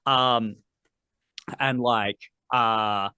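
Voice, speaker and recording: A man in his thirties talks through his story in a loose, meandering way, stacking up filler words and dropping casual curses. His voice sits at an ordinary middle pitch. The recording is dry and close, with no room sound around him. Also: fairly even intonation, very slow, sharp tone, clean tone, dry recording. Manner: rambling, filler-heavy, swears casually. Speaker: podcast guest, metal vocalist. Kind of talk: casual interview chat.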